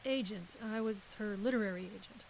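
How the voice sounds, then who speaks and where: A woman's voice: medium pitch, fairly quick, lightly acted, poor audio. A woman playing a guarded, brusque literary agent in an audio drama, with a dry, curt manner. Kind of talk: naturalistic audio drama acting. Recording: clearly hissy recording.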